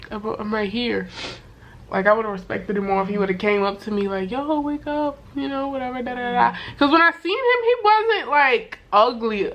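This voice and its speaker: A young woman with a voice worn hoarse from sickness, telling a story to camera in a loose, slangy way full of fillers and swearing. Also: medium pitch, highs cut off. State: hoarse from being sick. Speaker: vlogger. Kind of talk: casual storytime chat to camera.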